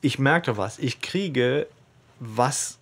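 A man's voice: medium pitch, very slow, fairly bright tone, breathy edge. A man co-hosting a podcast in casual, conversational discussion of a story. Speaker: podcast co-host. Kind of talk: casual discussion of an audio drama episode.

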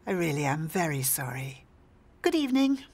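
A woman's voice: deep voice, sing-song, moderate pace, darkish tone, breathy edge, full character voice. An actress playing a polite, steely landlady in a radio soap, formal and coolly apologetic. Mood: coolly insistent. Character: polite but steely female landlord demanding back rent. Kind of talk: radio soap opera acting.

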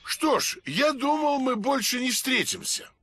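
High, bossy guardian spirit. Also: male voice, big pitch swings, a little slow, over music, exaggerated voice. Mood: stern. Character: bossy, protective good male mask spirit. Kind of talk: cartoon video game dubbing.

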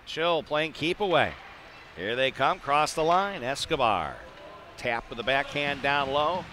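A man's voice: a little high, expressive, a little slow, pushed and bright, slight background noise. A man doing live play-by-play hockey commentary in clipped phrases with chatty asides. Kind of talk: live radio-style sports commentary. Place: indoor ice arena.

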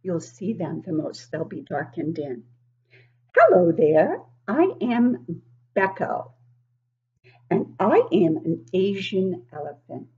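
A woman in her sixties reading a picture book aloud to young children, expressive and exaggerated, often putting questions to the listeners. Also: lowish voice, slow pace, lo-fi sound. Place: living room at home, indoors.

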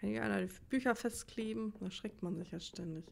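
A woman's voice: mid-range voice, brisk, creaky, darkish tone, slight background noise. A woman giving casual let's play commentary, thinking aloud and muttering to herself in a puzzled way as she works things out. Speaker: let's player, channel host. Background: game music.

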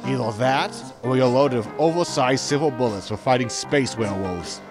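A man's voice: mid-range voice, normal speed, music in the background, natural voice. A man playing a sarcastic, casual, grumbling spaceship captain in a sci-fi comedy audio drama. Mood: exasperated. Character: flippant, grumbling male starship captain.

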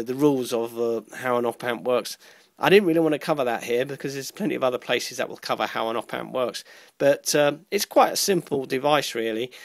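Gravelly-voiced man explaining electronics. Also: medium pitch, no echo. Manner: halting, often repeats and rephrases mid-sentence. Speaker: electronics tutorial presenter. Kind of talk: informal explanatory lesson walking through a diagram.

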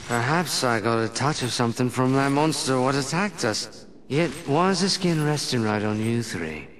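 An actor voicing a sly, swaggering pirate captain for a video game, with a slurred, wry drawl. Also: male voice, medium pitch, animated, moderate pace, fairly bright tone, lightly acted, rough recording. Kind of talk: video game cutscene acting. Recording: game music underneath.

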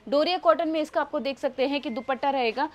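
A young Indian woman in her twenties giving a talkative, persuasive sales pitch as she shows goods to camera. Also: fast. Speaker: shop sales presenter. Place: indoor textile showroom.

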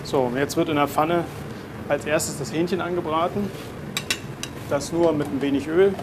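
Young chef explaining over a sizzling pan. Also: male voice, a little high. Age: young adult. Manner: relaxed, plain explaining with frequent filler pauses. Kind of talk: cooking demonstration. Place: garden, greenhouse and restaurant kitchen. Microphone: lapel. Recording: sizzling kitchen noise behind. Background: kitchen noise and sizzling pan.

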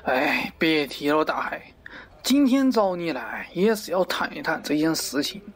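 A man's voice: on the high side, very animated, a little slow, full character voice. A man playing a pompous middle-aged wholesale market director in a parody radio drama, avuncular and lecturing, crude yet fond of poetry. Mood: confiding. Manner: avuncular, lecturing, theatrical, abruptly swearing.

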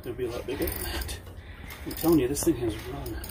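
A man's voice: medium pitch, fast, dark tone. A middle-aged man in casual, joking chat with a companion, caught on a handheld camera inside an ice fishing tent. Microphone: picked up by companion's handheld camera. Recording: very noisy camera audio in tent.

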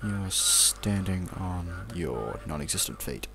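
A young man with an Australian accent talks through a video game as he plays. His voice sits very low and has a lot of fry in it. He rambles in an offhand, muttered way, casual and unscripted, with the odd swear word. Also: expressive, a little slow. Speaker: let's play commentator.

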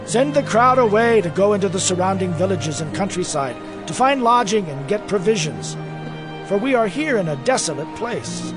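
A man's voice: high voice, sing-song, moderate pace. An actor playing a worried male disciple in a dramatized scripture reading, urging as he speaks. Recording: music bed behind voice.

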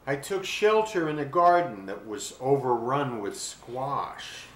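An older man reading a children's storybook aloud expressively and deliberately, pausing to describe things. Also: mid-range voice, expressive. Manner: deliberate, with pauses to describe the pictures. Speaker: children's book reader. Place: small furnished room at home. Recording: roomy webcam sound with faint hiss.

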